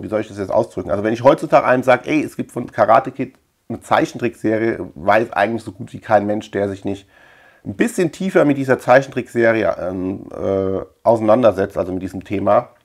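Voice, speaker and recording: A man's voice: on the low side, a little mellow. A middle-aged man from Germany chatting informally through a collection show-and-tell, rambling and repeating words as he goes. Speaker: video collector and channel host. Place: indoor room lined with shelves of tapes.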